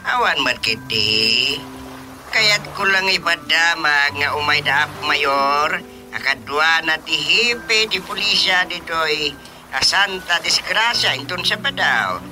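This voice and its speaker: A man's voice: on the high side, lively intonation, takes it slowly, pushed and bright, soft background music, heavily acted, rough recording. A man with an Ilocano accent playing a folksy, talkative village chairman in a radio drama comedy. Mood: businesslike, friendly.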